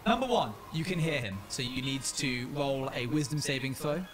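A man running a tabletop role-playing game as game master, describing the action and calling for dice rolls with frequent pauses. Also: medium pitch. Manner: descriptive, often pausing with ums. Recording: echoey room with faint noise.

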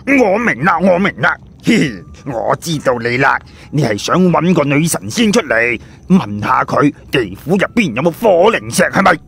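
A man voicing a brash, teasing, jolly adventurer sidekick in an audiobook, with a raspy voice and colloquial, exclamatory banter. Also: a little high, sing-song, moderate pace, hardly any pauses, a bit bright, voice pushed up, full character voice. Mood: pleased, knowing. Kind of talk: audiobook character acting.

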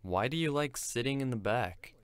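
A man's voice: mid-range voice, animated, normal speed, smooth, dry recording, natural voice. A man playing a blunt, irritable young male student in an audio drama. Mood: casual. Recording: noisy track with clicks.

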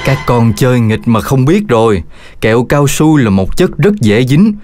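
Low lively grown-up cartoon figures. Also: female voice, moderate pace. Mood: scolding. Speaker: voice actor. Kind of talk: cartoon dubbing. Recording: cartoon with music underneath.

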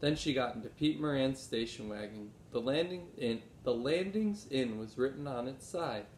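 Man, thirties, expressive storybook read-aloud, medium pitch, echoey room, faint rumble. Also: normal speed. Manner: expressive, acts out dialogue. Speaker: teacher reading aloud to pupils. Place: small room at home. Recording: roomy echo, low rumbling noise.

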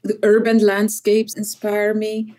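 A woman's voice: mid-range voice, completely flat delivery, slow pace, warm tone. A middle-aged woman from El Salvador, a poet whose first language is Spanish, speaking thoughtfully in an interview and often adding "right?". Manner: thoughtful, repeats words, often adds "right?". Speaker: poet, podcast guest. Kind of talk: reflective interview conversation.

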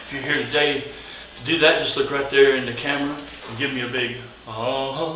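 A man performing as an Elvis impersonator officiant, giving teasing, halting wedding ceremony patter in a chapel. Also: mid-range voice, fairly even intonation. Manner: halting, drawling showman, teasing. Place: wedding chapel in Las Vegas. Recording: roomy, distant mic, noisy background.

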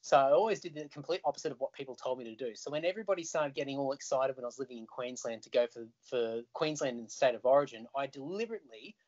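An Australian man talks in an animated, easygoing way, spinning stories and laughing at himself. His voice sits in a middle register. The call audio is dull and lo-fi. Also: mid-range voice, quick pace, hardly any pauses. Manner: animated, chatty storyteller who laughs easily. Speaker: guest musician. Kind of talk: casual video call chat. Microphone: video call.

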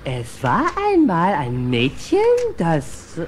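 Ein Schauspieler spielt einen kindlich-naiven, gutmütigen Wildkater in einem Kinderhörspiel, verspielt und etwas begriffsstutzig. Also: male voice, fairly high pitch, huge pitch swings, slow, exaggerated voice. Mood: storytelling. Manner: playful, dim-witted, faltering when reading. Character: goofy, kindly male cartoon wildcat mechanic, childlike. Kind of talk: children's audio drama acting. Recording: noisy old cassette audio drama.

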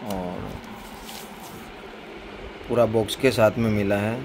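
A man's voice: lowish voice, fairly even intonation, takes it slowly, creaky, noisy background. A man casually narrating a handheld product showcase indoors on a phone, in plain, repetitive sales patter. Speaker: record seller showing his collection.

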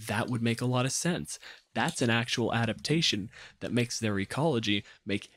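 A man's voice: mid-range voice, moderate pace, fairly bright tone. A man chatting casually as a podcast panelist, analytical and opinionated in the way he talks. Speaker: podcast panelist discussing tabletop game monsters. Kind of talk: casual chat.

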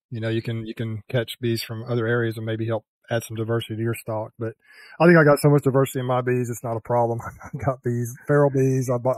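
Host role, older guy, fast and flat-toned delivery, dry close headset sound. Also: mid-range voice, no echo, low-quality recording. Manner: rambling, self-correcting, chuckles at himself. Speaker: beekeeping channel host. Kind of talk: casual interview chat. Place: home room with a headset mic. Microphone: headset with a boom mic, video call.

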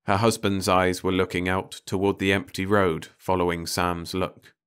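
Low voiced guy reading a story, really smooth and clear. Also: level tone, moderate pace, a bit bright. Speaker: audiobook narrator. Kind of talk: scripted story narration.

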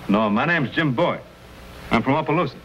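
Actor as a confident frontier hero, noisy old soundtrack. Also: male voice, mid-range voice, lively intonation, brisk, a bit bright, natural voice. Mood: curious, easygoing. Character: confident, helpful frontier adventurer in buckskins. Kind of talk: 1950s television western acting.

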